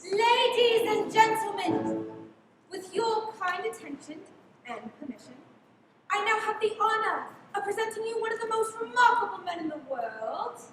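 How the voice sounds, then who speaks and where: A grandiose music-hall announcer acted by a woman calls out in a very high voice from far across a boomy, echoing hall with clear noise. The patter is showy and declamatory, with stretched emphasis. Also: animated, normal speed, fairly bright tone. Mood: grand showmanship. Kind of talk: radio play acting, stage patter. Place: high school stage performance, actors masked. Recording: echoey hall from far off, noisy.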